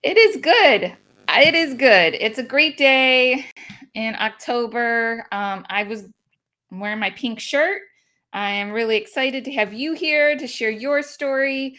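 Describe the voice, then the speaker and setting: A woman's voice: fairly high pitch, animated, slow, sharp tone. A woman hosting a podcast in a bubbly, chatty way, laughing easily. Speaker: podcast host.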